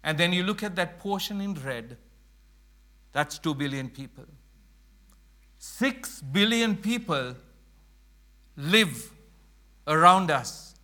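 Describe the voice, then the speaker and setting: A middle-aged man from Sri Lanka speaks to a church gathering in an earnest, emphatic way. His voice sits high and sounds bright and forceful. He slows down and leans on key phrases. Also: expressive. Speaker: mission speaker. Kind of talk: church presentation.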